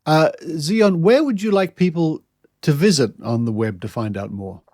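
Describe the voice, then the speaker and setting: A man's voice: on the high side, expressive, moderate pace, darkish tone, no echo, studio quality. An Australian man hosting a podcast and interviewing a guest in a conversational style.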